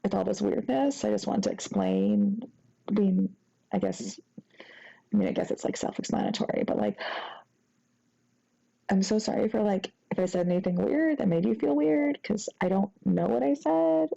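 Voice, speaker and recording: A young woman playing a sheepish, apologetic ex-girlfriend in an intimate audio roleplay, talking haltingly and rambling with embarrassment in a soft voice. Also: medium pitch, sing-song, creaky, dull audio, exaggerated voice. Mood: embarrassed, rambling. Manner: halting, rambling, embarrassed, breaking into nervous giggles. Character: sheepish, flustered, apologetic young ex-girlfriend after a drunken night. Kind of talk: intimate acted phone-call roleplay.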